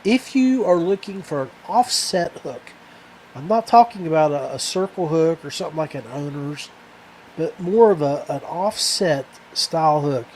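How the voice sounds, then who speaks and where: A man hosting a fishing podcast in a casual, rambling, halting way that often trails off. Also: a little high, darkish tone, a little hiss or hum, poor audio.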